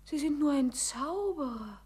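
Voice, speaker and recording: A woman's voice: high-pitched, animated, measured pace, darkish tone. An actress in a 1950s radio play playing a naive, sheltered young lady who speaks in a hesitant, fluttery way, repeating her words. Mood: awed, flustered.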